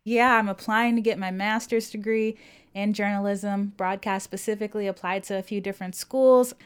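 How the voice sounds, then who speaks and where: A college student in her twenties from Louisiana, telling her own story in a casual interview with lively, emphatic rhetorical questions. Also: medium pitch, moderate pace, smooth. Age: 20s.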